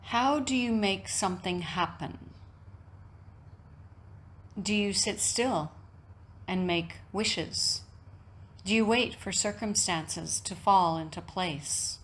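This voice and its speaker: A woman teaching yoga nidra, giving a reflective guided meditation talk in measured, deliberate phrases with frequent pauses. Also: medium pitch, a bit bright.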